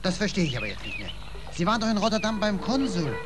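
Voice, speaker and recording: A man playing an excitable, sympathetic gentleman in a 1940s radio play, fussy and given to repeating his words. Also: high-pitched, fast, heavy background noise. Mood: baffled. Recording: old radio recording under loud music.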